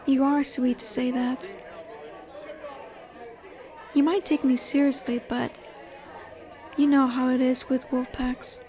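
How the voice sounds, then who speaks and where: Woman acting a high-voiced, slow-teasing werewolf alpha girl. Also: darkish tone. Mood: proud, confiding. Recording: bar crowd ambience behind voice. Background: bar chatter ambience.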